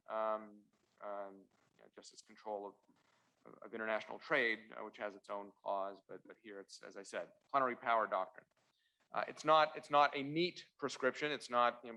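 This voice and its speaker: A man born in Russia and raised in Canada, a constitutional lawyer giving opening remarks at a university debate, halting often on "uh" and restarts, with dry wry asides. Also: medium pitch, bright, forceful tone, rough recording. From born in Russia, raised in central Ontario, Canada.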